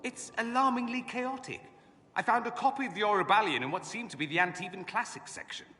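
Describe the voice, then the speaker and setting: An actor playing a witty, self-assured mage scholar in a video game, with an arch, sardonic, eloquent way of talking. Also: male voice, high voice, fast talker, pushed and bright. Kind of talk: video game cutscene acting. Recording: long hall reverb.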